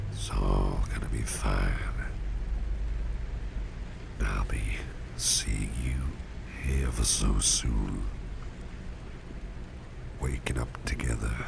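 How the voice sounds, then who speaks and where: Very deep, gravelly whispering man as a doting boss. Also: heavily acted. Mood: soothing, drowsy. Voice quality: breathy whispering, gravelly low murmur. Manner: gentle, unhurried, hesitant pauses, repeats phrases reassuringly. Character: doting, protective businessman boss, soothing and courteous. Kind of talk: ASMR roleplay, close personal attention. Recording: audible steady background noise.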